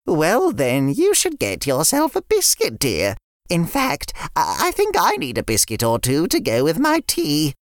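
A woman's voice: deep voice, huge pitch swings, unhurried, no echo, clean studio sound, pitched above the performer's own voice, heavily acted. A woman voicing a kindly older grandmother who loves biscuits, in a fussy, chatty way. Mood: fond.

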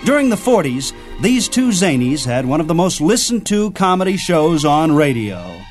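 An American man narrates as a radio host in a fairly high voice. Clear music plays behind his scripted delivery. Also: big pitch swings, moderate pace.

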